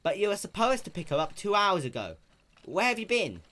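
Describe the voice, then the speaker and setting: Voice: medium pitch, big pitch swings, a little fast, fairly bright tone, pitched above the performer's own voice. A performer voicing a plain-spoken, hardworking young country mare with an earnest, folksy rural drawl. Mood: worried.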